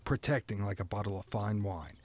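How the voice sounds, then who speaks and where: A man playing a stoic, resigned prisoner who narrates an audio drama in a somber, deliberate, poetic storytelling manner. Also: fairly low pitch, a little mellow, slightly rough. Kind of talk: scripted first-person dramatic narration.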